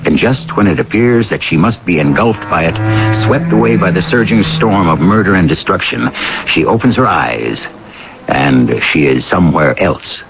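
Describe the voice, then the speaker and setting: A man hosting and narrating a radio drama in a theatrical, measured scripted style. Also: low-pitched, expressive, gravelly. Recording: dull old radio recording with music. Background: music.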